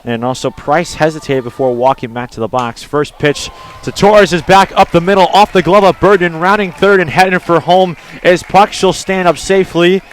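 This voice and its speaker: Male radio play-by-play announcer, slightly high register, excitable on key calls. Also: moderate pace. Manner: excited calls on big plays. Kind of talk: live radio sports commentary.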